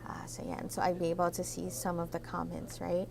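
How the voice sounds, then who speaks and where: A woman's voice: on the low side, completely flat delivery, fairly quick, gravelly, background noise. A woman with a Filipino accent hosting a live Q&A, explaining a topic in a mix of English and Tagalog with lots of fillers and checking "right?". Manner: code-switches between English and Tagalog, many fillers and rhetorical "right?". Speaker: immigration consultant hosting a live Q&A. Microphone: computer setup at a desk.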